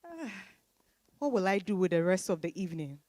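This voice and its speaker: A woman with a Ghanaian accent playing an independent, determined professional woman in a dramatised stage reading, expressive and declamatory. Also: lowish voice, fairly quick, no echo. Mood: weary, defiant.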